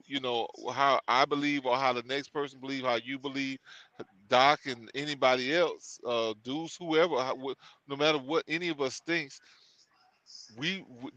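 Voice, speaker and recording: Bright-voiced man talking things through. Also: on the high side, no echo, rough recording. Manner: rambling, many restarts and fillers. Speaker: podcast co-host. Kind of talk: casual discussion.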